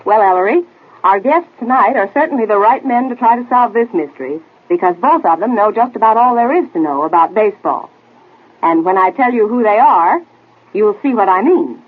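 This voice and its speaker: An American actress playing a spirited, teasing young detective's assistant in a 1940s radio drama, pert in manner. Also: female voice, mid-range voice, lively intonation, a little fast, natural voice. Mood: bright, announcing. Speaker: detective drama co-star who introduces guests. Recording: tinny, band-limited old radio recording.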